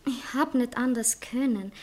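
An actress playing a passionate, self-dramatizing young bride-to-be in a satirical radio play. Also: female voice, fairly high pitch, measured pace, a little mellow. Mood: earnest, pleading. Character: passionate young bride-to-be who writes novels. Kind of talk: theatrical literary satire dialogue.

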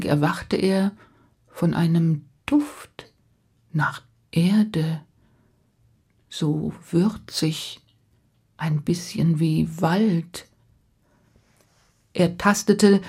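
A woman tells a fairy tale in a slightly low, husky voice. She takes her time, with dramatic pauses and repeated phrases. For suspense she drops into a hushed, whispery tone. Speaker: storyteller. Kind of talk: freely told fairy tale narration.

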